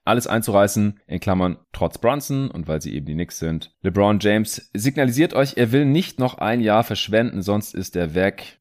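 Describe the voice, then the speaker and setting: A man's voice: sits low, darkish tone. A man hosting a sports podcast in casual, colloquial talk, mixing in English sports jargon. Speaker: podcast host. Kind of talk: casual basketball podcast talk, reading listener questions.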